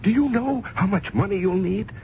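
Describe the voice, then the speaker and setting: An actor playing a shrewd financier in a radio drama, expressive and given to theatrical hesitation. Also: male voice, high-pitched, normal speed, vocal fry, dull audio. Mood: uneasy, questioning. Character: shrewd male financier, wavering between doubt and defiance. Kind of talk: old-time radio drama acting. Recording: band-limited, noisy old radio recording.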